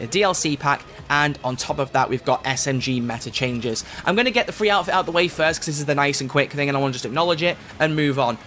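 Fast-talking British gaming YouTuber, slightly ill-sounding. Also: male voice, a little high, a bit bright, a little hiss or hum. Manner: upbeat, chatty, self-correcting. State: voice affected by illness. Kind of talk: video game update breakdown.

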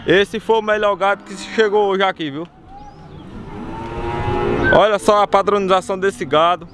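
Male market-video host, Northeastern Brazilian accent, casual emphatic patter, high register, very noisy outdoor recording. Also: steady tone, moderate pace. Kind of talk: casual on-site commentary and price interviews. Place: outdoor cattle fair pens. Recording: very noisy outdoor cattle market, busy crowd. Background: crowd, cattle, loud ambient noise.

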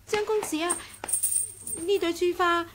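Radio drama bit part: timid young servant girl, extremely high voice. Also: fairly even intonation, measured pace, a bit bright, soft background music. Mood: meek. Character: meek, soft-mannered young maidservant. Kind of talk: Cantonese radio drama acting.

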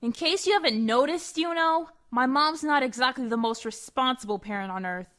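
A woman's voice: sits high, moderate pace, a bit bright. A woman voicing an exasperated, sarcastic, easily flustered teenage boy with a whiny delivery in a parody anime fan dub.

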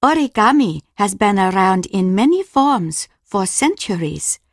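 A woman playing a polite, solemn Japanese innkeeper who teaches traditional arts in a video game, speaking formally and with measured care. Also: mid-range voice, big pitch swings, no room sound, clean studio sound. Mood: approving, solemn. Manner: formal, measured, gently instructive. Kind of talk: scripted video game dialogue.

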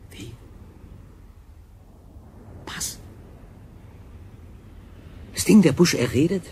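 An actor playing a bewildered, stranded lone sailor in a radio play, halting and doubting his own sanity. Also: medium pitch, dark tone, a little hiss or hum. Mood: shocked, disbelieving. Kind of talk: German radio drama acting.

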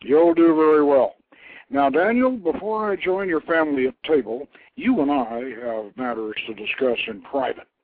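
Man plays mighty storybook blacksmith god: commanding, husky, emphatic, over a thin phone line. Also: fairly high pitch, normal speed, no echo, lightly acted. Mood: brisk.

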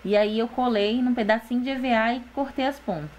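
Uma mulher brasileira na faixa dos vinte anos, com sotaque brasileiro, narrando em off um tutorial de artesanato com paciência e jeito de quem explica. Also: female voice, on the high side, steady tone, a little fast, a little mellow, slight background noise. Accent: Brazilian Portuguese. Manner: patient, explaining, often adds "gente" and "tá?". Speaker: DIY channel host. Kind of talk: step-by-step craft voice-over.

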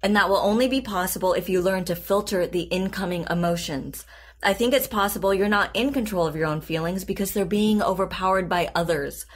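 An actress playing a calm, patient therapist in an audio drama, composed and deliberate in her delivery. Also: medium pitch, close to an everyday voice. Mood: firm, encouraging. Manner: composed, deliberate, clinical, reassuring. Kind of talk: naturalistic audio drama acting.

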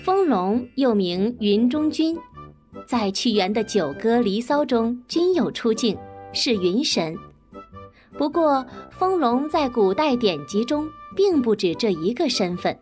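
A woman reading scripted educational narration for children. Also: on the high side.

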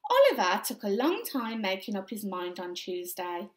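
Animated woman reading a story, echoey room. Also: medium pitch. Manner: animated, deliberate storytelling for young children. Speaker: primary school story time reader. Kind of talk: expressive read-aloud of a children's picture book. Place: small indoor room with bare walls.

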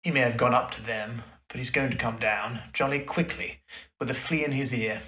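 A man's voice: medium pitch, fairly even intonation, normal speed, sharp tone, natural voice, poor audio. A man playing a slippery, falsely polite young schemer in a period audio drama, flippant and jaunty.

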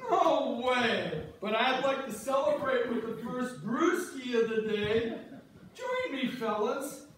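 A man's voice: very high voice, very animated, slow pace, fairly bright tone, exaggerated voice. A man playing a boastful, jolly beer-loving fisherman in an amateur stage play reading. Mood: jolly, bluffing. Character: boastful, jolly fisherman fond of beer and big-fish tales. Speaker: amateur reader's theater performer. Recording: echoey church hall from far off, faint noise.

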